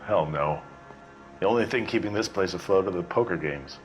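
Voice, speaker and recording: An actor playing a guarded, world-weary bartender who answers a detective in short, wry lines. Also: male voice, low-pitched, expressive, a little fast, faint music behind.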